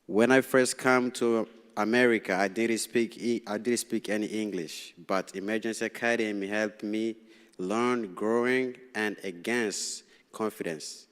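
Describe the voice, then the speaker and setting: A young man from Tanzania, Swahili his first language, reads a prepared speech to a school committee. His voice sits fairly low and sounds smooth and clean, though he stumbles and restarts lines nervously. The sound rings with the long reverb of a council chamber. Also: steady tone, unhurried. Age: young adult. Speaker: student representative. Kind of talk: reading a prepared speech at a public meeting. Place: large city hall meeting room.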